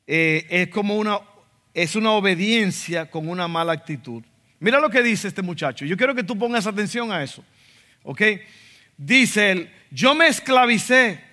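Man, Dominican accent, pastor, emphatic sermon, somewhat high voice, long church reverb. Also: bright, forceful tone. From Dominican Republic. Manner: emphatic preaching, quotes others in acted asides. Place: church sanctuary.

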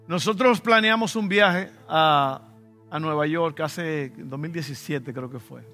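Dominican pastor preaching with bright voice. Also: male voice, a little high, slow pace, faint music behind. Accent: Dominican Spanish. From Dominican Republic. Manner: conversational preaching with personal stories, rising to emphatic bursts. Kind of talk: sermon.

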